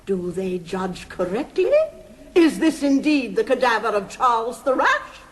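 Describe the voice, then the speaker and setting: A woman playing an excitable history professor, declaiming dramatically in a fluttery radio comedy style. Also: fairly high pitch, a little slow, a little hiss or hum. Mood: melodramatic. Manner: dramatic, declamatory, relishing each phrase.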